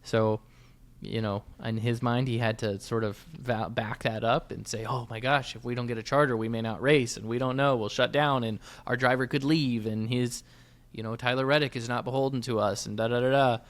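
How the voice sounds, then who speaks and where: A man's voice: medium pitch, steady tone, a little fast. A man with an ordinary mid-range voice co-hosts a motorsports podcast. He talks casually and conversationally, offering opinionated analysis in the manner of sports chat.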